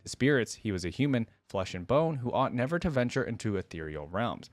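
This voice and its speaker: A man's voice: mid-range voice, big pitch swings, brisk, dry recording. A man hosting a reaction video, moving between casual commentary and reading story text aloud.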